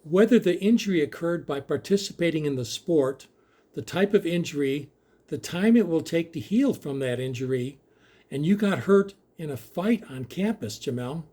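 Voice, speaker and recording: A man playing a sympathetic, even-keeled coach who delivers hard news patiently in an audio drama read. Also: on the high side. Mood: sympathetic, regretful. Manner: patient, measured, apologetic.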